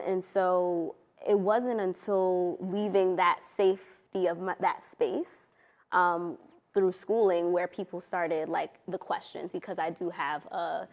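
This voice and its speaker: Panel guest, woman from Honduras, lowish voice, thoughtful and reflective. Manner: reflective, thoughtful, searching for words. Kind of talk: casual panel conversation.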